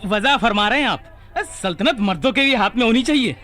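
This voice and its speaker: A man's voice: very high-pitched, lively intonation, a little fast, bright, forceful tone, faint music behind, heavily acted. An actor playing a scornful, conservative male courtier in a radio play. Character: sneering conservative courtier, scornful of women rulers. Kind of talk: Hindi radio play acting.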